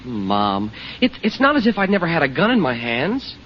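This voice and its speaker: An eager, slangy teenage boy in a 1940s radio drama. His high voice is cocky and insistent. The recording is muffled and noisy. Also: huge pitch swings, moderate pace.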